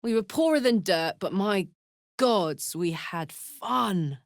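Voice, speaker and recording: A battle-hungry video game warrior woman with a big heart and a salty, informal tongue. Her delivery is unhurried and emphatic, swinging widely in pitch, in a very dry studio sound. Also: animated, dry recording. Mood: breezy, teasing. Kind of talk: video game character voice lines.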